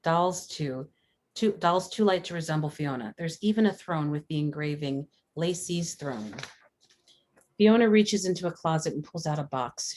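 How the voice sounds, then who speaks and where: Woman narrator, low-pitched voice, matter-of-fact, scripted screenplay directions, table read. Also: a little mellow. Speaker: stage directions reader.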